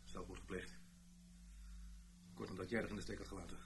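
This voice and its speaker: A man's voice: low voice, fairly even intonation, brisk. A man playing a blunt, bitter former army comrade who accuses, in a Dutch radio play. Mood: bitter, grave. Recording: noisy old radio play recording.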